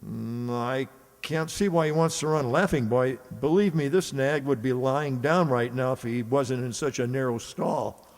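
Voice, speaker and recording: Man acting a skeptical racetrack trainer, medium pitch, dry, grumbling, radio comedy, hall echo. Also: moderate pace, soft background music, natural voice. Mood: doubtful. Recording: reverberant hall.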